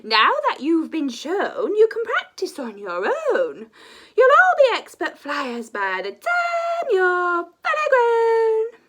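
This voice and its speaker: A woman voicing a grand, commanding female dragon teacher in a storybook, loud and drawn-out. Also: very high-pitched, very animated, pitched above the performer's own voice, exaggerated voice.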